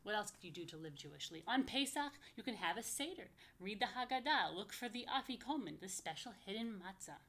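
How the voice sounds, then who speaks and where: A woman's voice: medium pitch, lively intonation, a little fast, hardly any pauses, fairly bright tone. An American woman reading a picture book aloud to young children, with chatty asides and playful questions to her listeners. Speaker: rabbi, story time host for young children.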